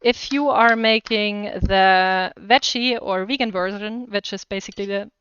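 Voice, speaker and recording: A woman's voice: mid-range voice, unhurried, a bit bright, slight background noise. A woman with a German accent chatting casually and enthusiastically to live viewers as she cooks, in a slightly muffled recording. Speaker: cooking livestream host. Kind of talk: casual chat to live viewers while cooking.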